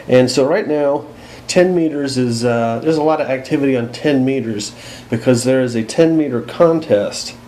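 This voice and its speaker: Casting: American male demonstrator, unscripted and explanatory, medium pitch, indoor recording with noticeable noise behind. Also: warm tone. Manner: plain-spoken, explanatory. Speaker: host demonstrating a radio. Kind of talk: casual unscripted product walkthrough. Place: indoors at home.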